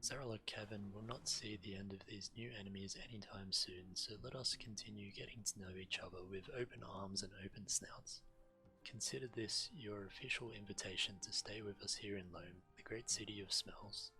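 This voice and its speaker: A man's voice: fairly low pitch, fairly even intonation, normal speed, sharp tone, rough recording. A man giving understated, matter-of-fact commentary on a video game and reading quest text aloud. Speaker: game streamer. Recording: game music faintly behind. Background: video game music.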